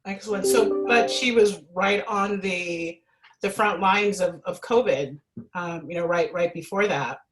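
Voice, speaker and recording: A woman's voice: lowish voice, measured pace, faint music behind, rough recording. A woman originally from Jamaica, a sommelier hosting a casual interview, asking friendly, winding questions full of asides. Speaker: sommelier host.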